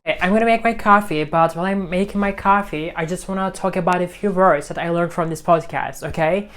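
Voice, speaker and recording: An English speaker talks through his learning routine in a relaxed vlog. He restates each point two or three ways as he works out how to say it, and his tone stays friendly and unhurried. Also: high-pitched, soft background music. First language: Turkish. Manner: repeats and rephrases himself while explaining. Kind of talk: casual teaching vlog.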